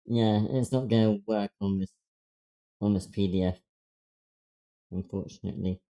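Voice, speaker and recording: A man's voice: fairly low pitch, fairly even intonation, normal speed, warm tone. A man taking part in an online table read, chatting informally and a little apologetically. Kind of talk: casual chat. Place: online voice call.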